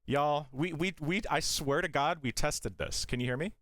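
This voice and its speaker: A man's voice: fairly high pitch, normal speed, fairly bright tone, no echo. A man hosting a casual live stream, talking loosely with restarts and fillers. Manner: rambling, with many restarts and fillers. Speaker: host of a game studio demo stream.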